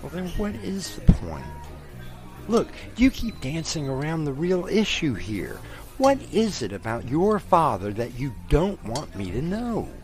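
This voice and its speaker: A man's voice: fairly high pitch, huge pitch swings, unhurried, music in the background, heavily acted. A man playing a smug, confident lawyer in an audio drama, teasing and probing as a fiancé. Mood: smug, insistent. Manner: self-assured, teasing. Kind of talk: scripted audio drama acting.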